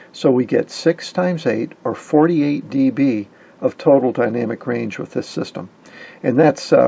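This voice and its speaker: A man's voice: medium pitch, vocal fry, mellow, slightly rough. A man in his fifties giving an informal technical explanation as a hobbyist host. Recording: faint background noise.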